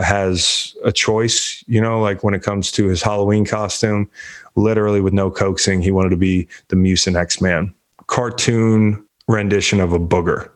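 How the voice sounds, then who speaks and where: A man in his thirties hosting a casual sports show, joking, rambling and swearing freely, a bit congested. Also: low voice.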